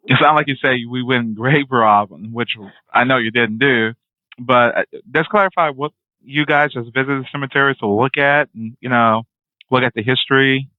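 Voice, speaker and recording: A man's voice: mid-range voice, normal speed, no room sound. A man hosting an interview, asking long, winding questions in a casual way. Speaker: interview host. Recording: dry, tinny phone-like line.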